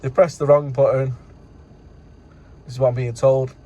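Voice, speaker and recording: A man's voice: medium pitch, little pitch movement, moderate pace, dark tone, lo-fi sound. A man giving a casual, rambling vlog update as a courier driver, in colloquial everyday speech.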